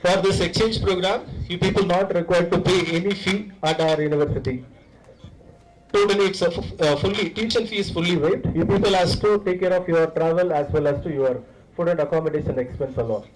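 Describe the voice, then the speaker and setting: A man with an Indian accent and a slightly high voice gives a promotional talk to students as a university director. He explains point by point, working through lists and often repeating his words. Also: a little fast, slight background noise, rough recording. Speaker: visiting university director of international programs.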